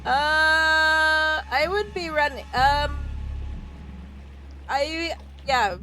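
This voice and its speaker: A woman streamer giving casual, expressive let's play commentary as she reacts to a game. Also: sits high, extremely slow.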